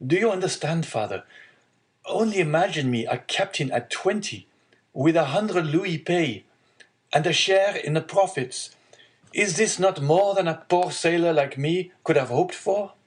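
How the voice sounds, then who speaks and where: A man's voice: on the high side, measured pace, voice pushed up, close to an everyday voice. A man voicing an eager, devoted young sailor in an audiobook story, speaking in an earnest, urgent way. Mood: excited, hopeful.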